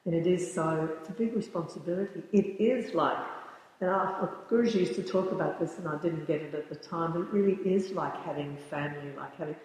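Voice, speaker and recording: A woman in her sixties, a yoga teacher, answering interview questions thoughtfully and often pausing to search for words. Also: low voice, darkish tone. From born in the United States, raised in the Bahamas. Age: 60s. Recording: very echoey room with long reverb.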